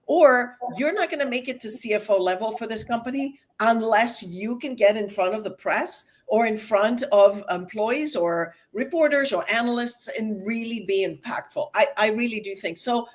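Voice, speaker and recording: A woman's voice: a little high, moderate pace, few pauses. A woman hosting an interview as a communication coach, emphatic and enthusiastic.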